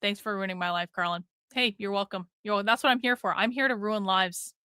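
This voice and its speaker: A woman's voice: mid-range voice, fairly even intonation, fairly quick, bright, forceful tone, clear, resonant tone, dry recording, clean studio sound. A woman hosting a livestream in casual, rambling talk, blunt and sweary, often repeating phrases for emphasis. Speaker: livestream host.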